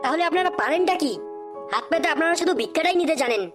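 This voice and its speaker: An indignant young cartoon job seeker a woman plays him, sarcastic and challenging. The voice is high and races along, with music behind it. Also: expressive, very fast. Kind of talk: comedy cartoon dubbing.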